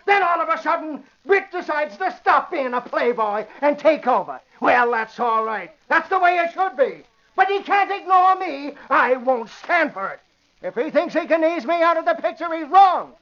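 A man's voice: very high voice, animated, normal speed, sharp tone, exaggerated voice. A man playing an irascible, blustering newspaper city editor in 1940s radio drama style. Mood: indignant, blustering. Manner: blustering, indignant, emphatic. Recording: muffled vintage radio recording.

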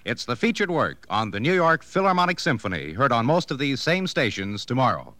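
A man's voice: mid-range voice, big pitch swings, fairly quick, pushed and bright, slight background noise. A man announcing on 1950s network radio in a polished, formal promotional style.

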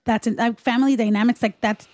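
Slangy, higher-voiced woman, close and dry. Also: fairly even intonation, fast talker, darkish tone, no echo. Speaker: podcast co-host. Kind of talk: casual group chat.

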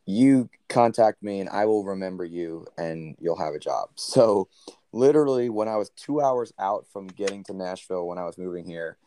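A young man, a country singer, telling a story in a relaxed interview with many fillers; he has a low baritone voice. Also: normal speed, no echo.

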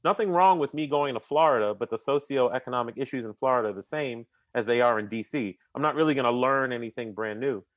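A man's voice: medium pitch, fast talker, hardly any pauses, no room sound. A man talking casually and energetically as an interview guest, often repeating words as he starts sentences and using slang like "man" and "bruh". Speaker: podcast guest.

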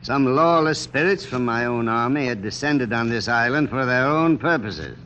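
An actor playing a grave, commanding army general in old radio drama, speaking in a formal, stately way. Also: male voice, mid-range voice, expressive, normal speed, a bit bright, dull audio, lightly acted. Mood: formal, resolute. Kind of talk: 1940s-50s radio drama acting. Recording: faintly noisy old radio recording.

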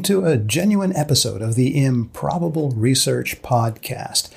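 A man hosting a comic science podcast in a dry, deliberate, deadpan way with mock-formal phrasing. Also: mid-range voice, gravelly, breathy edge, no echo. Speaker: podcast host.

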